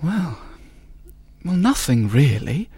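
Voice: medium pitch, big pitch swings, slow pace, dark tone, husky, no room sound, full character voice. A storyteller voicing a proud female thief who plays the offended respectable lady. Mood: coy.

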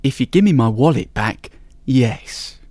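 A storyteller voicing a boastful male master thief who gets squeaky and breathless when worked up. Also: animated, a little slow, darkish tone, dry recording. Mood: teasing.

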